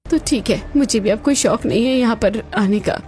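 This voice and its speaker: A woman's voice: on the high side, moderate pace, darkish tone, a little hiss or hum, lightly acted. A woman playing a capable, long-suffering young daughter-in-law in a cartoon story. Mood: hurt, indignant.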